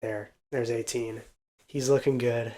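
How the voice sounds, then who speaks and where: A man's voice: medium pitch, fairly even intonation, measured pace, dark tone. A man casually commentating a baseball video game, counting hits aloud and adding self-deprecating asides. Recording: faint background music.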